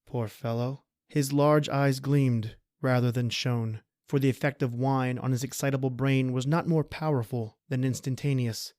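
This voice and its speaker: Male narrator for radio horror drama: medium pitch, theatrical scripted reading, dry studio-like sound. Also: steady tone, normal speed, few pauses, a little mellow, no room sound.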